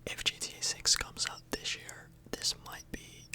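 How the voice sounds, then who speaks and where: A woman speaks entirely in a soft, breathy whisper. She rambles casually in an ASMR style, hesitating and correcting herself as she chats to her viewers. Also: a little slow. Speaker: ASMR YouTuber, host. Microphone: microphone a little further away than usual.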